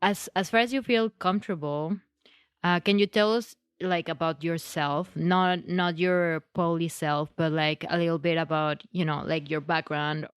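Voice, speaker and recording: Low-voiced Mexican-accented woman podcaster. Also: fairly even intonation, moderate pace, clear, resonant tone, no room sound, clean studio sound. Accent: Mexican Spanish. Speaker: podcast host. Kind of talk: podcast intro and interview.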